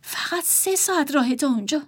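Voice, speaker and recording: A woman's voice: sits high, animated, pitched above the performer's own voice. A woman voicing a desperate young woman, pleading earnestly with her brother.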